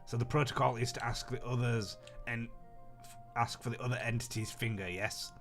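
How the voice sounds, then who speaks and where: A man's voice: medium pitch, fairly even intonation, a little fast, a bit bright, faint music behind, close to an everyday voice. A man voicing a polite, literal-minded Martian queen in a visual novel, formal and matter-of-fact. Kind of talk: visual novel read aloud in character.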